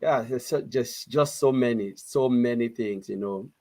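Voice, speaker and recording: A man's voice: mid-range voice, measured pace, a little mellow. A man from Cameroon with a Cameroonian accent, talking casually and earnestly as a panelist, repeating words for emphasis. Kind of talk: casual, unscripted discussion.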